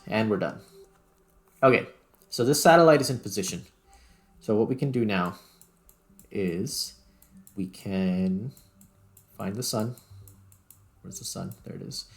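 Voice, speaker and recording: A man giving casual gameplay commentary, thinking aloud as he goes. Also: lowish voice. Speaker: gaming video host. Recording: music playing behind the voice. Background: background music.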